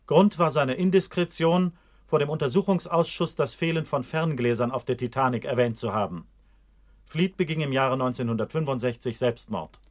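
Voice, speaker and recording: An actor playing a sober narrator in a documentary radio drama, formal and matter-of-fact. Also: male voice, mid-range voice, normal speed, lightly acted. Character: sober male narrator reciting charges and fates.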